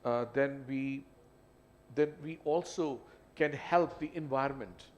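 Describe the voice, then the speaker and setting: A man's voice: mid-range voice, darkish tone. A man with a Pakistani English accent, speaking on a business panel and often saying "you know" as he halts. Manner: halting, with frequent "you know". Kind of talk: panel discussion. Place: event hall. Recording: faint background noise.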